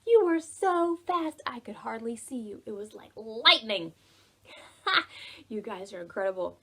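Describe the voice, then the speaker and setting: A woman with a high voice leads storytime for small children. She goes slowly, stretching words out and softening some phrases almost to a hush. Her delivery is expressive and simple, aimed straight at little listeners. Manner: expressive, drawn-out words with soft hushed phrases; addresses children directly with simple questions. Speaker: children's librarian hosting preschool storytime. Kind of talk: read-aloud storytelling for young children.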